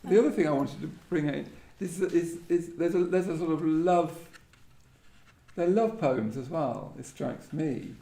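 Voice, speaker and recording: A man with a creaky, slightly high voice hosts a literary conversation on stage. He muses and hesitates as he frames his questions. The room is noticeably echoey. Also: a little slow, dark tone. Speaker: event host and interviewer. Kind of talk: live on-stage literary interview.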